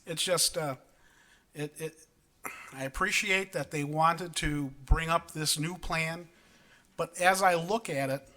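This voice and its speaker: Resident guy at a city meeting, bright kinda high voice, lots of ums and restarts. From Wisconsin. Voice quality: big voice. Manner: earnest, halting, with many ums and restarts. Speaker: resident speaking at public comment. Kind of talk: public meeting comment. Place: council chamber.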